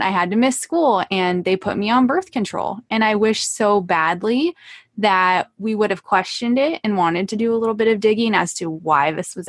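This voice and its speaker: A woman's voice: mid-range voice, dry recording, studio quality. A woman in her thirties hosting an interview, affirming and sympathetic as she shares a similar story. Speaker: host. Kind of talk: conversational interview.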